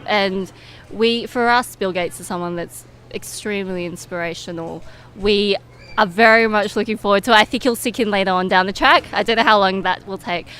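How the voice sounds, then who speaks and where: A woman's voice: medium pitch, normal speed, fairly bright tone. A young woman giving informal, explanatory answers as a guest in an interview. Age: young adult. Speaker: interview guest, medical student and competition winner. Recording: clear background noise and ambience.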